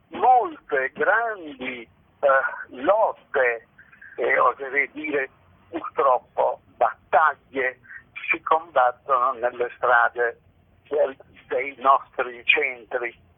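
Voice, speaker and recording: An older man answering reflectively in a radio interview, halting and repeating phrases for emphasis. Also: medium pitch, lively intonation, breathy edge. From Italy. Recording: tinny band-limited phone line.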